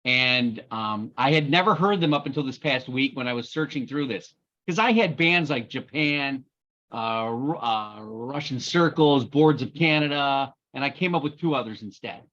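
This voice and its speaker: A man's voice: mid-range voice, expressive, moderate pace, fairly bright tone, muffled recording. A man hosting a casual podcast, talking with a wry, sarcastic humor. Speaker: podcast host. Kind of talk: casual chat.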